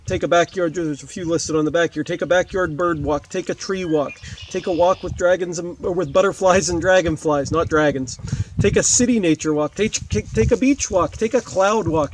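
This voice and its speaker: A man's voice: fairly high pitch, vocal fry, a little hiss or hum. A man in his forties, a teacher, reading aloud expressively to children outdoors and explaining as he goes. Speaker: fourth-grade teacher. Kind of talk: read-aloud lesson for children, with asides. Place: outdoors beside a wetland lake.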